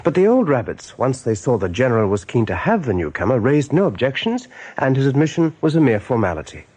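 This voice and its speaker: An actor as the storyteller of a radio play, narrating dramatically in a husky male voice. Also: mid-range voice, sing-song, brisk, a little mellow. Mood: grave storytelling. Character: storyteller narrating an animal adventure.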